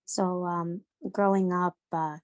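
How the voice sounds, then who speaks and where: A young woman from Sri Lanka, a podcast guest talking casually and haltingly, with many fillers and restarts. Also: fairly low pitch, little pitch movement, a little mellow, no echo. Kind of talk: casual discussion.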